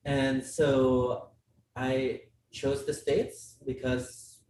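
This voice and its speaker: A man's voice: mid-range voice, little pitch movement, extremely slow, darkish tone. A young man from the Philippines, a business school student and podcast guest, chatting casually with lots of fillers and restarts. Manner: chatty, many fillers and restarts, laughs often. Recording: roomy, distant mic, faint hiss.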